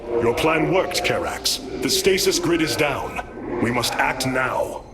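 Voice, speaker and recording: An actor playing a noble, thoughtful alien leader in a video game, with stately, formal speech. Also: male voice, mid-range voice, animated, slow, loud music behind the voice. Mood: resolved. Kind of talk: dramatic video game voice acting.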